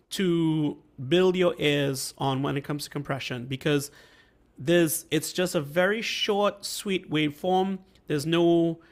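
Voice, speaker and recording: Slightly high man with a flu-worn voice. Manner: conversational, halting, checks in with listeners. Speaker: workshop host and mixing instructor. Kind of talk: casual online teaching. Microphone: video call microphone.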